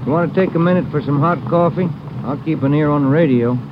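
A man's voice: a little high, fast, natural voice. Easygoing police detective, a man acting, muffled sound. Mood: casual. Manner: casual, plain-spoken. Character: easygoing male police detective, loyal partner. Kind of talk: 1950s radio drama acting. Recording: muffled old radio recording with noise.

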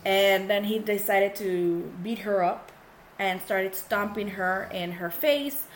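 Woman podcast co-host, hoarse from illness, bilingual casual storytelling, faint noise. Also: medium pitch, slow pace, rough recording. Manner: switches between English and Spanish mid-sentence. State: sick, coarse voice. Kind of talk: casual true-crime chat.

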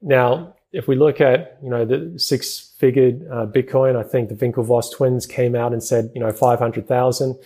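A man speaks as an interview guest. His voice is dark and mellow with a husky edge. He talks fast, padding his answers with fillers and restarting phrases. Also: medium pitch, fairly even intonation. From New Zealand. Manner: rapid speech with many fillers and restarts. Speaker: guest, crypto investment executive.

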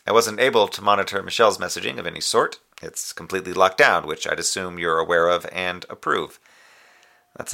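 An actor playing a middle-aged, conscientious moonbase communications officer in a sci-fi audio drama, with a gravelly, wry, weary delivery. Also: male voice, low-pitched, sharp tone, heavily acted. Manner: formal reporting with dry sarcasm and tenderness. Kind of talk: audio drama monologue as a radio report.

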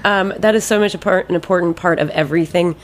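A woman's voice: on the low side, fast talker, slight background noise. A woman in her late thirties with an American accent, talking informally and emphatically as a guest in a casual radio interview, often repeating words for emphasis. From Ohio, United States. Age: late 30s. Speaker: ice cream maker and business owner, interview guest.

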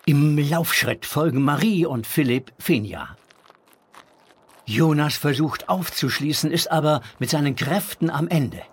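A man narrating a scripted children's audio drama with expressive, dramatic storytelling. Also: a little high, measured pace, a little hiss or hum.

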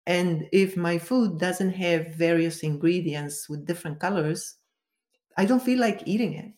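A woman's voice: on the low side, unhurried, darkish tone. Woman explaining carefully. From Venezuela. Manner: careful, explaining step by step with restarts. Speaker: guest, color expert. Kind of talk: explanatory interview answers.

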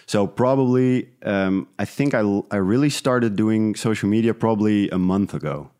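A man from the Netherlands who grew up speaking talks in a fairly low voice. He is a guest in a relaxed interview, thinking aloud with some hesitation as he explains himself. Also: moderate pace. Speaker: interview guest, independent podcast creator. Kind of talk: casual interview conversation.

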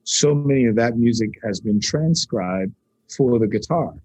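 Husky-voiced man telling stories in a dry room. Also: mid-range voice, normal speed, no room sound, rough recording. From New York. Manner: animated, rambling storyteller with many restarts. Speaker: guitarist and composer. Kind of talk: casual interview conversation.

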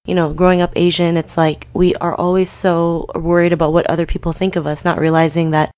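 An upbeat woman hosts an interview podcast, encouraging her guest and saying "you know" often. Her voice sits a little low, and the sound is dry and close. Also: little pitch movement, brisk, a little mellow, dry recording. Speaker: podcast host.

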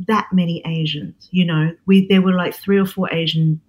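A woman's voice: lowish voice, steady tone, moderate pace, darkish tone, low-quality recording. A woman in her forties with an Australian accent, a chef talking as an interview guest, thoughtful and full of restarts. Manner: thoughtful, many fillers and restarts. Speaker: guest, chef and cookbook author. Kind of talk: reflective interview conversation.

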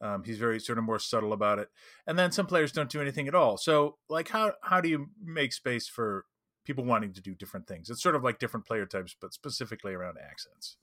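A man's voice: medium pitch, expressive, fast, sharp tone. A man co-hosting a casual chat about game mastering, talking emphatically and often checking in with the listener. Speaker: podcast co-host.

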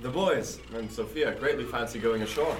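A man's voice: mid-range voice, normal speed, close to an everyday voice. A man playing a genial, loyal sailor with hearty old-fashioned nautical speech in a period audio drama. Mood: dutiful. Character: genial, loyal male sailor, fond of song. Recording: roomy, distant, faint noise.

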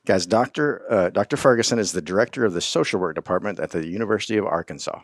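A man hosting a podcast, chatty and quick to laugh, often restarting his sentences. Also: on the low side, no room sound, studio quality. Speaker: podcast host. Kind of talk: casual interview chat.

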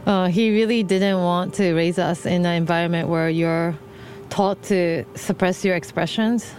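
Soft-spoken Japanese-accented woman. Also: fairly low pitch, steady tone, measured pace. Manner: soft-spoken, hesitant, many fillers. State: heavily pregnant. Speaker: guest, film composer. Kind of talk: casual conversation. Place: hot tub outdoors. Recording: faint background noise.

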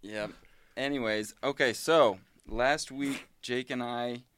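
A man in his thirties speaks as a podcast co-host in a casual, conversational way, often asking questions. The voice is clear and smooth, with a tight, echo-free recording. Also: medium pitch, slow pace, a bit bright. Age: 30s.

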